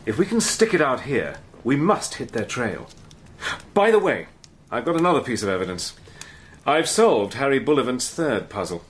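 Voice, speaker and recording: An actor playing a resourceful officer who also narrates, brisk and clipped, in a radio drama. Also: male voice, mid-range voice, sing-song, a little hiss or hum, close to an everyday voice. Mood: earnest.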